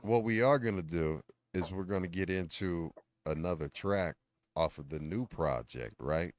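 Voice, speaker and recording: Deep, smooth-voiced podcast host man. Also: normal speed. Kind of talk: casual interview hosting.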